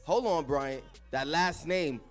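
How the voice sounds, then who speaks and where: A man's voice: a little high, slow pace. A man hosting a live comedy show, hyping the crowd in loose slang. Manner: loud, slangy crowd hype. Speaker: show host. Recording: music playing behind.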